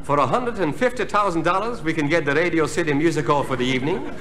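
An actor playing an unflappable party consultant with a salesman's measured delivery in a 1960s comedy album sketch. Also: on the high side, a crowd in the background, lightly acted. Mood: professional, knowing. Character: smooth-selling, unflappable bar mitzvah party consultant. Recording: 1960s comedy record with live audience.

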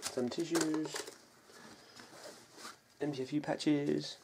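A British man giving a casual, unscripted rundown of his kit in a low-key, halting way, as if thinking aloud. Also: fairly even intonation, vocal fry. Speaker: bushcraft hobbyist presenting his kit. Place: indoors on a wooden floor at home. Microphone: camera mic, a short distance away. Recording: noisy home video, clattering gear. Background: clicks and clatter of handled gear.

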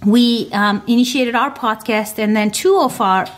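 A woman guest explains her work in quick bursts of speech, full of "um" and "like". Her voice sits somewhat high and keeps a steady, chatty tone. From Turkey. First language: Turkish. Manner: many fillers, talks in quick bursts. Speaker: guest, science podcaster. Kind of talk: interview answers.

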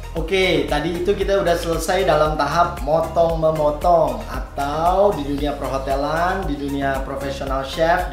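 A man in his thirties walks through a recipe in a casual, talkative way, asking himself why and then answering. His voice rings off a roomy home kitchen, with clear music playing under him. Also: fairly high pitch. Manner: chatty, explains each step with questions he answers himself. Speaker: professional chef and dad cooking at home. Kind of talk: casual cooking demonstration. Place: bright home kitchen, indoors. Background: background music.

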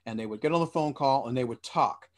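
A man's voice: medium pitch, fast talker. A man in his forties on a headset at home, speaking earnestly on a video-call panel and often restarting his sentences. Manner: earnest, explanatory, with frequent restarts. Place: small room indoors.

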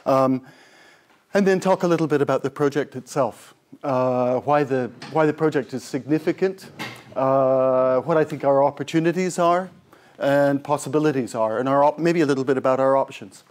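A man's voice: medium pitch, moderate pace, darkish tone. A middle-aged man from Scotland, a professor giving an informal talk in a lecture room on a lapel mic, rambling and self-deprecating with many fillers and restarts.

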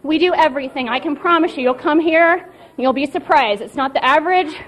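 A woman's voice: high voice, fairly quick, poor audio. Enthusiastic woman fitness instructor. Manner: enthusiastic, promotional. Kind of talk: live TV interview answers. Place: busy indoor gym. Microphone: reporter's handheld mic.

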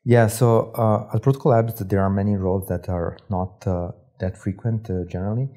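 Young man from Serbia, low and mellow. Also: steady tone, moderate pace. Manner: hesitant, many fillers and restarts. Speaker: guest, startup operator. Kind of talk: casual interview talk. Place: studio set with armchairs.

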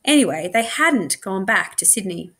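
Expressive woman reading a story aloud. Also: mid-range voice, moderate pace. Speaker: reader of children's books. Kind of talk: expressive audiobook-style read-aloud.

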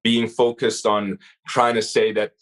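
A man's voice: fairly low pitch, flat delivery, unhurried. A man with a mixed Arabic-influenced English accent, a rapper as podcast guest, speaking thoughtfully with frequent restarts and fillers. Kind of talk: casual interview chat.

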